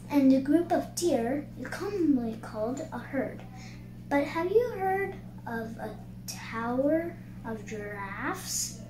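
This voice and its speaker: A child reading a picture book aloud in a halting way, sounding out words. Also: high voice. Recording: roomy echo, clear background noise.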